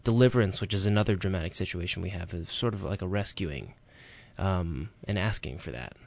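A man co-hosting a talk radio show, explaining things calmly with fillers. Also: deep voice, fairly quick, gravelly. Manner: reads a listener letter aloud, explains calmly with fillers. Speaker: radio co-host.

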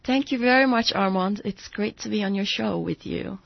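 A woman from Turkey, a native Turkish speaker with a Turkish accent, talking as an interview guest and pausing to search for words. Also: mid-range voice, gravelly, slightly rough, no room sound. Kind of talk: conversational radio interview. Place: radio studio. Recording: dry, slightly dull radio audio.

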